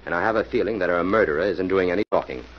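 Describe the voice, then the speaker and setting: An actor playing a brisk, confident crime fighter in a 1940s radio drama, persuasive and used to giving instructions. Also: male voice, deep voice, natural voice. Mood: confident, instructing. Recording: muffled, slightly noisy old radio recording.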